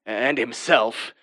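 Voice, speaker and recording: A man's voice: mid-range voice, sharp tone. An actor playing a wary male friend in a horror audio drama, talking in a conversational, teasing way. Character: wary male friend telling a spooky legend.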